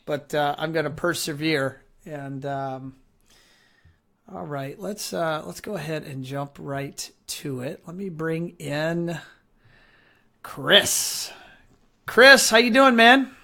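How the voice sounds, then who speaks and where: A man hosting a live-streamed interview show, chatty and upbeat with many fillers, his voice raspy and hoarse from allergies. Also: a little high, slow pace, pushed and bright. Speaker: host.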